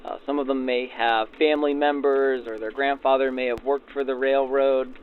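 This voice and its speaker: A man's voice: mid-range voice, level tone, moderate pace, smooth. A man giving informal, explanatory interview answers as a supervisor, through a vintage radio filter. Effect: backdated 1940s radio filter. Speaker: railroad passenger services supervisor being interviewed. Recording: tinny radio sound with faint noise.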